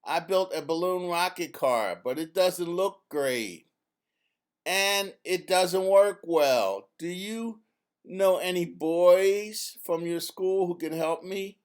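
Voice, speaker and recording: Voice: mid-range voice, slow pace, clear, resonant tone, lightly acted. A storybook narrator voicing an eager boy scientist who asks things in an earnest, polite way. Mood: earnest, asking.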